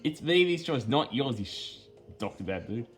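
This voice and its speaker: A man with a mid-pitched voice talks casually over video game cutscenes. He rambles in a halting way, repeating and restarting phrases as he earnestly explains small details. Game music plays softly behind him. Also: animated.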